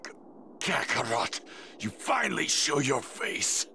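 A man's voice: medium pitch, a little slow, vocal fry, bright, forceful tone, slight background noise, heavily acted. An actor playing a proud, bitter, battered male alien warrior in an anime game, speaking in a strained, resentful growl. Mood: bitter, pained.

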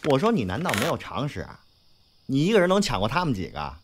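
A man's voice: mid-range voice, wildly swooping pitch, fast, background noise. A man playing a brash, cocky but loyal young gangster in an audio drama, talking with slangy, sweary swagger. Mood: cocky, griping. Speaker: voice actor in a fan audio drama. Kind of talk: Chinese audio drama acting.

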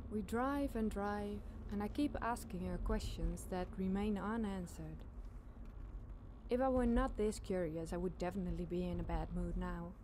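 A woman telling a scary campfire story in a suspenseful, deliberate, dramatic narration. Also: mid-range voice, noisy background. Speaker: podcast storyteller.

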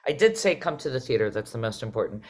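A woman's voice: extremely low pitch, very animated, quick pace. A middle-aged woman hosting a panel conversation over a video call in a welcoming manner. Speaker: host, theater artistic director.

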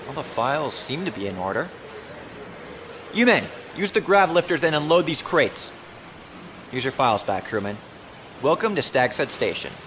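Dutiful space-navy officer acted by a man, audio drama, official and military, lively intonation, noisy ambience behind. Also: medium pitch, normal speed, long pauses. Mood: brisk authority. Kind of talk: science fiction audio drama acting. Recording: clear background noise and ambience.

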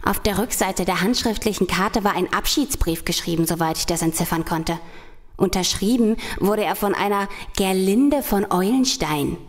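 A woman's voice: medium pitch, moderate pace, close to an everyday voice. A woman playing a resourceful girl detective who takes charge, in a children's radio play. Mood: relaxed, chatty.

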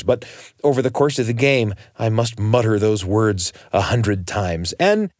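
A man telling a scripted personal story in an expressive, wry manner. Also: medium pitch, no room sound, clean studio sound.

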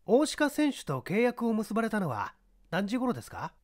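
Man as a polite, probing police inspector, expressive. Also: sits high, moderate pace, pitched above the performer's own voice, full character voice. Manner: courteous, inquisitive. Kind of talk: radio-drama style mystery reading.

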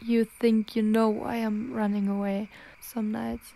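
A woman acting a halting, frightened young werewolf girlfriend. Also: on the high side, level tone, vocal fry, dark tone. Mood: defensive denial. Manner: halting, stammering, pleading. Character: frightened, evasive young werewolf girlfriend fighting her transformation. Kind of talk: ASMR girlfriend roleplay audio drama.

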